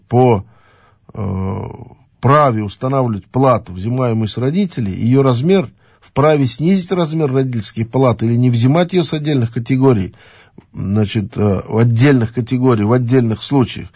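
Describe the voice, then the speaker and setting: A middle-aged man speaking as a regional official in a halting official address, with frequent fillers and restarts. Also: mid-range voice, darkish tone, husky, dry recording, muffled recording.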